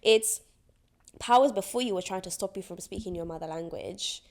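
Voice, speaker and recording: A woman from Iran in her twenties or thirties, co-hosting a podcast, talking in a casual, animated way with many fillers and laughs. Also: mid-range voice, normal speed. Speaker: podcast co-host. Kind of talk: casual chat.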